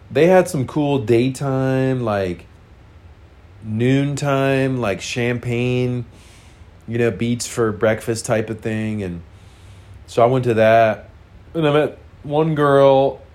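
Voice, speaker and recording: A man's voice: medium pitch, extremely slow, long pauses, a little mellow. Congested man telling a rambling story. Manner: rambling, frank, full of fillers. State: congested, nasal. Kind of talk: casual personal storytelling.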